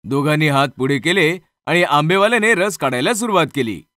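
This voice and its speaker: A man's voice: a little high, lively intonation, a little fast, bright, forceful tone, no echo, studio quality. A man narrating a scripted horror story in a dramatic storytelling style.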